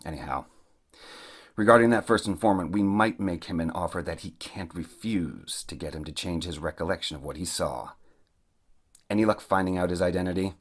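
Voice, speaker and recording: Corrupt mayor played by a man: low-pitched, scheming, bossy, for audio drama. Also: fairly quick. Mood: worried, domineering.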